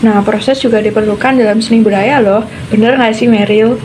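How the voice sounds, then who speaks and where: A woman's voice: fairly even intonation, fairly quick. A young woman co-hosting a student podcast in a scripted, conversational educational style. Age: young adult. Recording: noisy, vehicle-like ambient rumble.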